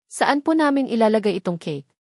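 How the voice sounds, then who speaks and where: A woman's voice: a little high, sing-song, a little fast, a little mellow, no room sound, studio quality. A woman voicing an anxious, protective mother with a Filipino accent in a dramatic story. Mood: polite, timid. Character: anxious, protective single mother of a young girl. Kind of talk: dramatic storybook narration dialogue.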